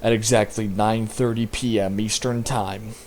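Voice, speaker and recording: A man performs a grave official spokesman in a fictional emergency broadcast. His voice sits fairly low, and his delivery is formal and measured. Faint noise runs behind the announcement. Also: steady tone, darkish tone, natural voice. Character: grave male government spokesman delivering a disaster alert.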